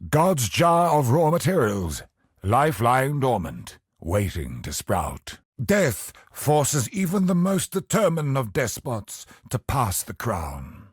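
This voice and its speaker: An actor voicing a grave, bitter, ancient cosmic sorcerer in a video game, speaking in solemn, portentous, theatrical lines with a husky voice. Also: male voice, mid-range voice, sing-song, no room sound, studio quality, exaggerated voice. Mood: brooding, ominous. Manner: solemn, portentous, dramatic slow delivery.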